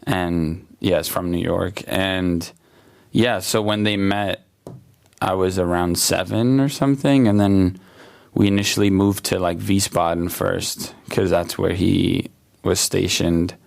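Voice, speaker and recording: A man's voice: sits low, steady tone, measured pace, gravelly. A man, talking through his art in a loose interview, hesitant and full of fillers and restarts. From Germany, raised in the USA. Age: late 20s. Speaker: artist, guest. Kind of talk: casual interview talk. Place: exhibition hall.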